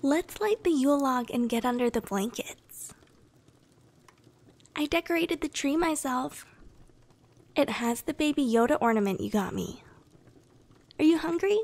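Woman acting a high, breathy, bashful girlfriend. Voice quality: soft, breathy, often whispered. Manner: slow, gentle, intimate, coy. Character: shy, affectionate young anime-style girlfriend, clingy and bashful. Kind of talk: soothing ASMR roleplay.

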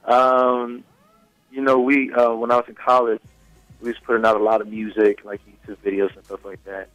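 A man's voice: medium pitch, completely flat delivery, normal speed, a bit bright, no echo, faint music behind, rough recording. A young man whose first language is Swedish, talking casually as a singer guest in a radio interview, full of "you know" fillers. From Sweden. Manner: laid-back, many fillers like "you know".

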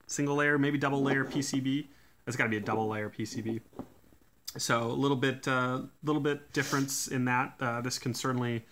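A man talks casually as he takes gear apart at a workbench, his voice bright and forward. He fills pauses with "uh" and piles on technical detail. A faint hiss sits under the recording from the camera mic above the table. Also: medium pitch. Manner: relaxed, many "uh" fillers, technical detail. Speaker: DIY tool reviewer. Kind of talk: casual hands-on teardown talk. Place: indoors at a workbench.

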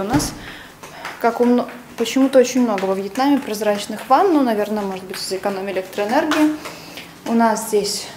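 A woman's voice: medium pitch, noisy background. A young woman giving a casual, chatty hotel tour while filming with a phone held at arm's length, with plenty of fillers and self-corrections. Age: young adult. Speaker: travel agency vlogger touring a hotel. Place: indoors in a hotel room and outdoors on resort grounds. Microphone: phone camera at arm's length.

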